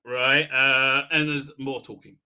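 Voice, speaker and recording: A man hosting a fan review livestream, giving a rambling, halting, sarcastic recap with repeated words and exasperated outbursts. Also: medium pitch, little pitch movement, pushed and bright, clean tone. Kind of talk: casual mocking episode recap.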